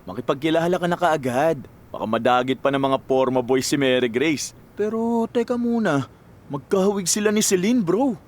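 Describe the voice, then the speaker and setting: A man's voice: fairly high pitch, expressive, normal speed, lightly acted. A man playing a teasing young college buddy in a radio drama. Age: young adult.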